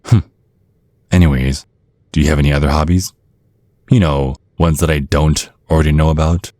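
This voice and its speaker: A man's voice: very deep voice, fairly even intonation, moderate pace, a little mellow, dry recording, studio quality. A man playing a centuries-old vampire father in an ASMR roleplay, protective and slyly polite, teasing with veiled threats. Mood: insinuating threat. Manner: mock-courteous, insinuating, teasing with veiled threats.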